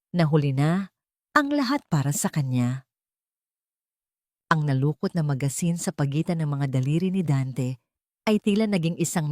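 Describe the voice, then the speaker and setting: Woman, lower-pitched voice, dry close sound, dramatic storytelling, measured emotive phrasing. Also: a little mellow, dry recording. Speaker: narrator. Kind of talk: dramatic scripted story narration.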